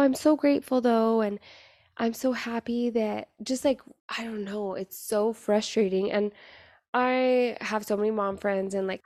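A young woman with a raspy voice, hosting a casual solo podcast late at night and chatting in a rambling, confiding way. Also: medium pitch, darkish tone, dry recording, studio quality. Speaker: podcast host.

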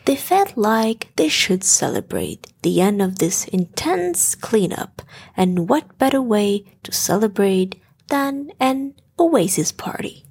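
A woman narrating a scripted bedtime story in a soft, gentle storytelling manner. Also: medium pitch, very animated, slow pace, gravelly, breathy edge. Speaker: storyteller, podcast host.

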